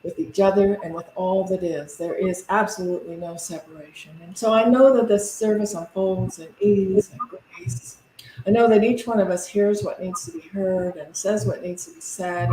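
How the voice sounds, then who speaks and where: A woman minister leading a guided, meditative invocation in gentle, deliberate phrases with pauses. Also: medium pitch, fairly even intonation. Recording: echoey room with faint background noise.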